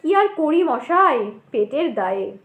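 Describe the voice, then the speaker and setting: A woman's voice: sits high, very animated, slow, a bit bright, reverberant, full character voice. A woman playing an anxious, talkative poor man in a horror audio drama, polite and pleading in conversation. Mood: weary, resigned. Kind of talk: audio drama dialogue acting.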